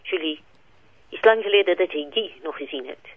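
A woman's voice: lowish voice, animated, brisk, no echo. An actress with a Flemish accent playing an anxious, urgent middle-aged mother on the telephone in a radio drama. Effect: telephone filter. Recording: thin, narrow telephone-like sound.

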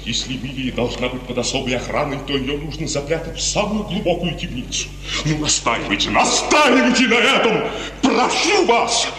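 A man's voice: a little high, big pitch swings, normal speed, bright, forceful tone. An actor playing a proud, anguished father, intense and bitterly ironic, in 1950s theatrical radio style. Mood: anguished, pleading. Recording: echoing hall, noisy old radio recording.

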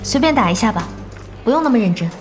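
An actress playing a calm, polite, modest young woman in an audio drama, understated and easygoing. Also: fairly high pitch, quick pace, faint music behind, close to an everyday voice. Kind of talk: Chinese audio drama acting.

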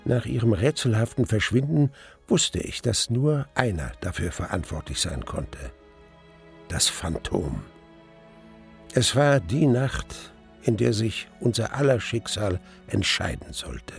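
An elderly man narrates a radio play in a deep-set, creaky voice. He is mournful and reflective, haunted by a lost love, and faint music runs behind his words. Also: very animated, exaggerated voice. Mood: haunted, ominous. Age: older. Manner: brooding, halting, reflective. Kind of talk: dramatic first-person radio play narration.

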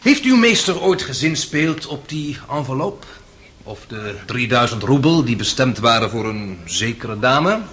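A man's voice: mid-range voice, very animated, unhurried, a bit bright, a little hiss or hum. A man playing a sly, undaunted defence lawyer who questions with theatrical irony in a radio drama. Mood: probing. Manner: leading, ironic, theatrical questioning.

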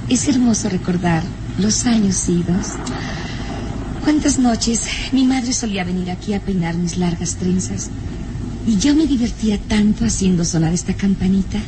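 A woman performs a young, tender-hearted daughter lost in nostalgia. Her delivery is sighing and melodramatic, in the manner of old radio drama, at a medium pitch. The old serial recording has clear noise behind her. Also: lively intonation, normal speed, close to an everyday voice. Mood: wistful, tender. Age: young adult.

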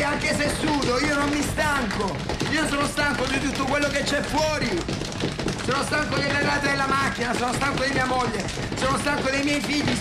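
A man's voice: very high-pitched, heavy background noise. A man acting a weary, worn-down working man in a theatrical monologue, insistent and wistful. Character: weary working man, worn down, tenderly remembering his father. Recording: echoey room, loud music.

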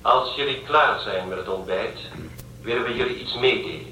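A man playing a cold, authoritarian ship intelligence in a radio drama, measured and detached in delivery. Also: mid-range voice, bright, forceful tone, slight background noise, lightly acted. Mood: stern, official. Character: controlling male ship intelligence called an angel, cold and authoritarian. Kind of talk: Dutch radio drama acting. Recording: echoey, distant, music underneath.

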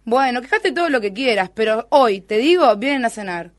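A woman's voice: fairly high pitch, animated, lightly acted. A woman playing an exasperated wife scolding her stubborn husband in a comic radio play, with an Argentine Rioplatense accent. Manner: brisk, scolding.

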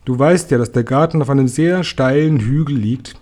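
A man voicing a gentle, good-natured female ladybird in a children's story, with a kindly, eager manner. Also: medium pitch, moderate pace, close to an everyday voice.

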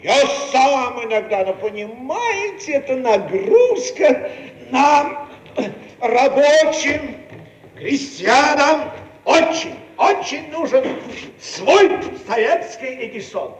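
A man's voice: very high-pitched, sing-song, recorded from a distance, exaggerated voice. An echoey old radio play recording of a self-important Soviet bureaucrat. He swoops around his hollow stock phrases with lots of pomp, sounding set back from the mic. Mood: self-important, patronising. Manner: pompous, rambling, drawn-out stock phrases. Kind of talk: theatrical radio comedy acting.

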